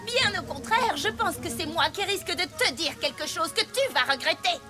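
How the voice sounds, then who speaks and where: Fussy fancy unicorn pony, very high voice, dramatic and prim, loud music behind. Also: female voice, animated, normal speed. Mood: haughty. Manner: prim, theatrical, dramatic complaining. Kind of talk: French cartoon dub.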